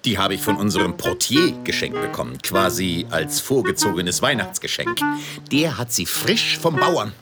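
A man's voice: sits low, animated, moderate pace, loud music behind the voice. An actor playing a pompous, bossy middle-aged father and opera singer in a theatrical children's radio play. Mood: proud.

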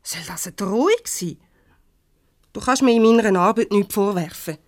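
An actress playing a defiant, quick-tongued young employee in a radio play, sharp and sarcastic, with a Swiss German accent. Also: female voice, medium pitch, no room sound, studio quality, close to an everyday voice.

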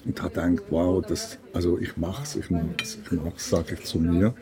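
Older man, low voice, Swiss German accent, casual storytelling, background chatter. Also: moderate pace. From Switzerland. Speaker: radio maker, interviewer.

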